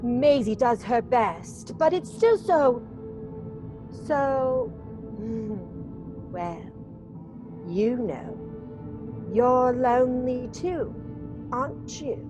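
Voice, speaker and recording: A sweet young widow with a high, delicate pitch. She speaks very slowly and coyly, in the manner of old-time radio melodrama. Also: lively intonation, over music. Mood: soft, wistful. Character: sweet, demure young widow and bride hiding coyness.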